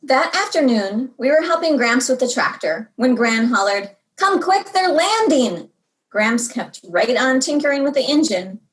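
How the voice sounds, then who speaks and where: High-voiced woman reading a children's story. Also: rough recording. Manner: animated, dramatic emphasis with drawn-out words. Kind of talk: expressive read-aloud storytelling for young children.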